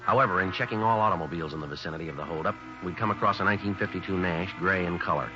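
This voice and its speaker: A man playing a stern, businesslike police sergeant who narrates a 1950s radio drama in a terse, deadpan way. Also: low-pitched, rapid-fire, natural voice. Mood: flat, factual. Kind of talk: 1950s radio acting, clipped procedural narration. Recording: dull 1950s radio recording with music.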